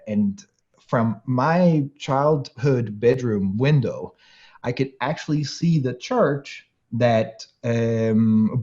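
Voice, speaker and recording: Austrian-born man, mellow and thoughtful. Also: medium pitch, highs cut off. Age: late 30s. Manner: thoughtful, many fillers and restarts, laughs often. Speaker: guest harpsichordist. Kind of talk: interview conversation.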